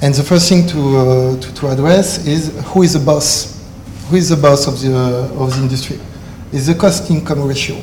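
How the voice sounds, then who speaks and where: French-accented man, higher voice, echoey hall. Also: a little mellow. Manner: halting non-native English with many filler uhs. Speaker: panelist. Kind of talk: conference panel talk. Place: conference hall. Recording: echoey conference hall with faint noise.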